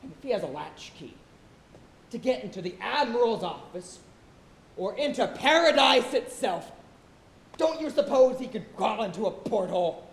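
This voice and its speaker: A man on stage playing a boastful, excitable sailor with comic, blustering bravado. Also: high-pitched, normal speed, creaky. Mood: boastful, teasing. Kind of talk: theatrical stage acting. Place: college theatre stage. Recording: roomy auditorium stage recording, some hiss.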